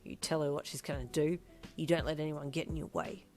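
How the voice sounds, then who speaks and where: Woman as a loudmouth dad, brash, blunt, teasing, hollering delivery, narrated story. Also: low voice, steady tone, fairly quick, faint music behind, lightly acted. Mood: gruff encouragement. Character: brash, loud, foul-mouthed father.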